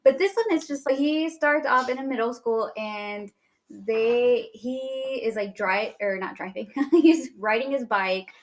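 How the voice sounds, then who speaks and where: A woman's voice: a little high, measured pace. Chatty woman, rambling and informal. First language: Spanish alongside English, grew up speaking it. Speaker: podcast co-host. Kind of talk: casual chat about anime.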